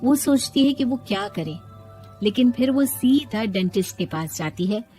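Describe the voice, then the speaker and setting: A woman's voice: a little high, animated, moderate pace, dark tone, faint music behind. A woman storyteller reading scripted narration for a moral story.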